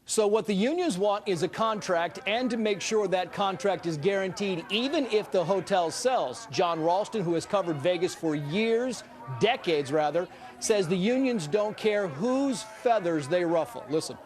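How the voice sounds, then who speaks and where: A man's voice: high voice, animated, moderate pace. A male correspondent delivering a news report from the newsroom.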